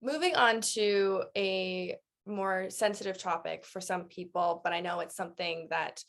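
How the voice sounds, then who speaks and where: A woman's voice: on the low side, unhurried, fairly bright tone. A woman interviewing a guest as host, conversational, attentive and encouraging.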